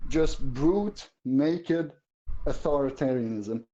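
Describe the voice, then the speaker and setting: Halting man, mellow tone. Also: fairly high pitch, highs cut off. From Syria. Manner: halting, searching for words. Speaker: guest viewer. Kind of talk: casual political discussion.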